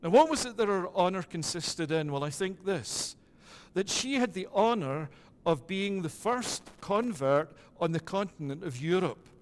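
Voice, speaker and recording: High-voiced Scottish pastor preaching. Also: male voice, animated, big-room sound, slight background noise. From Scotland. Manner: measured preaching with repeated "it is that" phrasing. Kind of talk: sermon.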